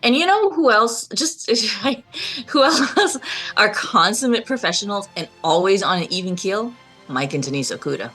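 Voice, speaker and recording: A woman's voice: mid-range voice, expressive, a little slow, a bit bright, breathy edge, faint music behind. A woman on a casual discussion panel, giving her opinions emphatically.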